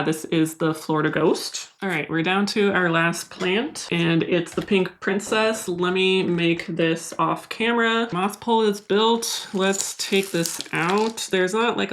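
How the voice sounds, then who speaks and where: A young woman with a low, soft voice talks to her viewers as she pots plants. Her tone is candid and confiding, unhurried and personal, like a vlog voice-over. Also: a little hiss or hum. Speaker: plant vlogger. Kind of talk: casual voice-over while repotting plants.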